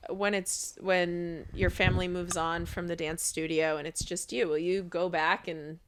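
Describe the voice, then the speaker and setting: A woman co-hosting a podcast, steering the chat with measured questions. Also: on the low side, little pitch movement, noisy background. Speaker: podcast co-host. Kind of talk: interview questions.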